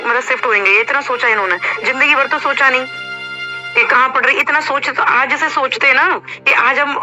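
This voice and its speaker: High-voiced aggrieved woman. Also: bright, forceful tone. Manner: insistent, aggrieved, talks over the other. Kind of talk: heated private phone conversation. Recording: muffled recorded phone call, music behind.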